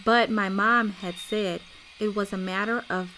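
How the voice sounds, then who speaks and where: A woman's voice: mid-range voice, steady tone, unhurried, sharp tone, low-quality recording. Wry woman narrator played by an actress, faint noise. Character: adult narrator recalling her childhood, wry. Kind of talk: radio play narration.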